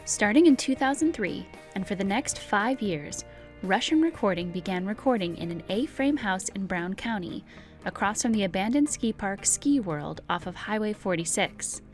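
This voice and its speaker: A woman host giving clear, even scripted narration for a travel documentary. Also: medium pitch, normal speed, soft background music.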